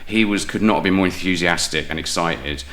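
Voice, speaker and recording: British male, deep and bright, animated guest storyteller with halting, self-interrupting delivery. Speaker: guest playwright and audio drama maker. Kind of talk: casual enthusiastic interview storytelling.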